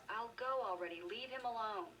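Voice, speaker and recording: An actress playing a streetwise young daughter, defiant but softening, in a video game. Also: female voice, on the high side, a little fast, distant mic, a little hiss or hum, low-quality recording. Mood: alarmed.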